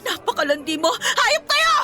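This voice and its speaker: A jealous young girlfriend in a Filipino radio drama, fiery and wounded. Her voice is extremely high and very bright, confronting and accusing in emotional bursts. Faint music plays underneath. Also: very animated, moderate pace, full character voice. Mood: furious, hurt.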